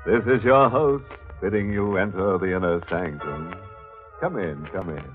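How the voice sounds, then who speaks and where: Deep, macabre horror radio host. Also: male voice. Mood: teasing menace. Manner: theatrical, mock-sinister, drawn-out words. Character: sardonic, macabre horror show host welcoming listeners. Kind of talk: 1940s radio mystery narration. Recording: muffled vintage 1940s radio recording. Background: music.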